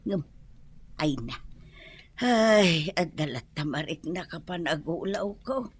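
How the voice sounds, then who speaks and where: A woman's voice: fairly low pitch, lively intonation, slow, husky, exaggerated voice. A woman playing a whiny, scheming elderly mother in an Ilocano comedy radio drama, melodramatic and complaining, with an Ilocano accent. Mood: wheedling.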